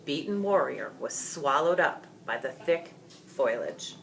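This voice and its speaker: A woman reading a story aloud as expressive scripted narration. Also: extremely low pitch, a little slow, vocal fry, a little hiss or hum, low-quality recording. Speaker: storybook reader.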